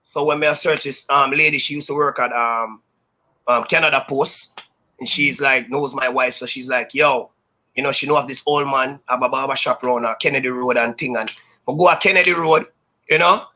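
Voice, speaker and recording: A Jamaican man who works as a sound system DJ, telling stories in a loud, high-energy, boastful way that is full of patois. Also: fairly even intonation, moderate pace. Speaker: sound system DJ, interview guest. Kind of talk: casual storytelling interview.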